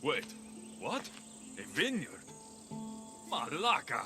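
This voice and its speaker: Young mercenary, Greek accent, sarcastic, weary, tough, lively intonation, game dialogue, music behind. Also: male voice, fairly high pitch, slow pace, exaggerated voice. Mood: incredulous. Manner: sarcastic, exasperated. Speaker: game protagonist. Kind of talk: cinematic video game acting.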